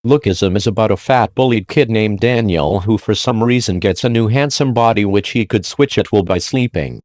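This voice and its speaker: A man's voice: lowish voice, moderate pace, darkish tone, no room sound, studio quality. A man hosting and narrating a scripted countdown review in an informal, slangy way. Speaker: video host and reviewer.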